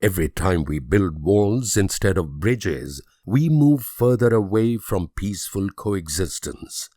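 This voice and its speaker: An actor playing a grave, idealistic and world-weary political leader in a strategy game, speaking in measured, solemn oratory with dramatic pauses. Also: male voice, low-pitched, lively intonation, dark tone, no echo, clean studio sound. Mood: grave, reproachful.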